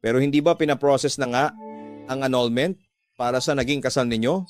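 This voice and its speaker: A polite young woman teacher in a radio drama, acted out by a man. She explains herself courteously and with a soft heart. There is clear music in the background. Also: mid-range voice, a little fast, close to an everyday voice. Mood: defensive, annoyed. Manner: courteous, explaining, defensive when accused. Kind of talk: Filipino radio drama acting.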